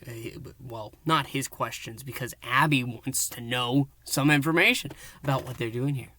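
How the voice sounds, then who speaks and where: A man's voice: mid-range voice, moderate pace, sharp tone. A man recapping an audio drama in a casual, playful review, often dropping into a whisper. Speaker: podcast reviewer.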